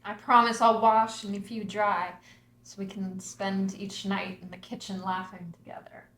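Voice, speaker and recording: An actress reading the part of Maggie, a witty, loving young wife, in a screenplay table read. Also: female voice, mid-range voice, little pitch movement, normal speed, fairly bright tone, reverberant, slight background noise, close to an everyday voice.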